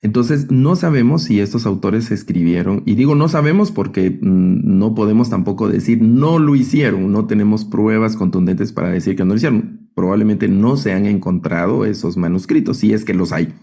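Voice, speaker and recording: A man's voice: on the low side, animated, moderate pace, gravelly, hardly any pauses, warm tone, slightly rough. A man with a Guatemalan accent teaching an online Bible class as a professor, explaining didactically and often checking in with short tag questions.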